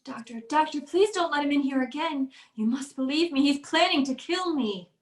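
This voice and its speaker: A woman's voice: high-pitched, animated, moderate pace. A woman playing an anxious, well-to-do wife in an old-time radio drama, pleading and earnest. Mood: frightened, insistent. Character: anxious wealthy wife convinced her husband wants her dead. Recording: roomy, echoey sound.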